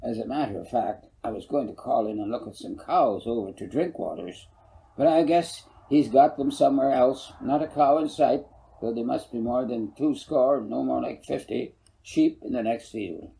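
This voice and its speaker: A man's voice: mid-range voice, moderate pace, vocal fry, warm tone, natural voice, poor audio. A man voicing a folksy, good-humored older farmer who rambles and corrects himself, in a storybook reading. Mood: folksy, rambling. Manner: rambling, homespun, self-correcting. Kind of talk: audiobook character voice.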